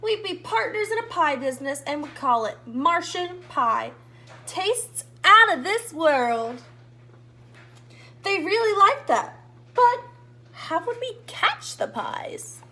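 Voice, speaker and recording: Very high-pitched woman reading a children's storybook. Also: big pitch swings, slow pace, sharp tone. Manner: addresses young listeners directly with questions. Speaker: read-aloud host, storyteller. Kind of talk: expressive children's storybook read-aloud.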